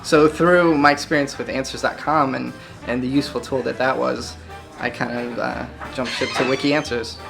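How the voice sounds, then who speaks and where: Gravelly-voiced guy from chicago, lots of uhs, music playing under him. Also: medium pitch, steady tone, normal speed. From Chicago, Illinois. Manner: hesitant, many fillers. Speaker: site volunteer. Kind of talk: interview answers.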